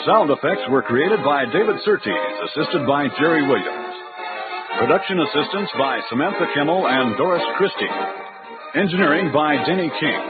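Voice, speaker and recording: Lively radio serial announcer over music, male. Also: a little high, normal speed. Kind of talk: dramatic old-time radio announcing. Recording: tinny band-limited radio broadcast, music behind.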